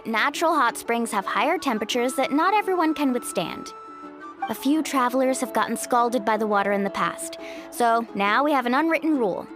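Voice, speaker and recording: A woman's voice: a little high, lightly acted. A woman playing a cheerful, confident, outgoing young guide in an anime-style video game, chatty and upbeat. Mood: explaining.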